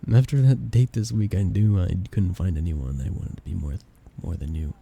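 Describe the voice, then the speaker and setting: Romance ASMR roleplay: shy, tender best friend in love, played by a man, gravelly and lively in pitch, hesitant delivery. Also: normal speed, slight background noise. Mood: earnest, nervous.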